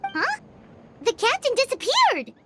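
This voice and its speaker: A tiny fairy sidekick, extremely high and squeaky, with lively swings in pitch. The childlike game character is cheeky, indignant and blunt, with music playing underneath. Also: female voice, unhurried, exaggerated voice. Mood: surprised. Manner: blunt, questioning, excitable. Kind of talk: English game voice acting.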